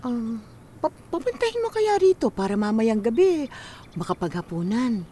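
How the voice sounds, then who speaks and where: A woman's voice: fairly high pitch, big pitch swings, moderate pace, mellow, slight background noise. A woman playing a loving, weary middle-aged mother in a Filipino radio drama, with a tender, coaxing, sighing manner. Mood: probing.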